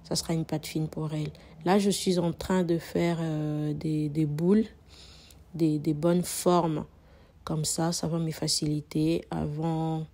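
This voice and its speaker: A woman hosting a home cooking tutorial, hoarse from a cold, chatty and prone to repeating herself with frequent fillers. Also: low-pitched, darkish tone. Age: adult mother. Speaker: cooking channel host. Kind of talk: casual cooking tutorial narration.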